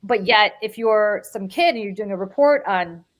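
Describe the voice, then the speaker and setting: A woman hosting a casual political podcast, speaking with blunt, animated energy. She is middle-aged and often repeats her words as she pushes a point. Her pitch sits in an ordinary middle range for a woman. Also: moderate pace. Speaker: podcast host.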